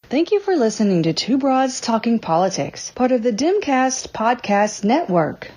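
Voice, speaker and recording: Woman host doing the podcast outro, really lively sing-song read. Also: a little high, normal speed.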